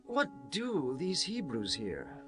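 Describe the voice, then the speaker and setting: A man's voice: a little high, huge pitch swings, slow, over music, exaggerated voice. A man playing an angry, suspicious warrior prince in a dramatized scripture audiobook, indignant and declamatory. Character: angry, suspicious warlord prince demanding dismissal.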